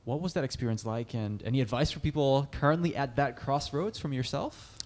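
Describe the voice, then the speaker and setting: A man's voice: mid-range voice, a little fast. A young man hosting a podcast on a studio mic, encouraging his guest and asking long questions. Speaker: podcast host. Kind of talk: interview hosting. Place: bright studio room. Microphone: studio mic on a boom arm.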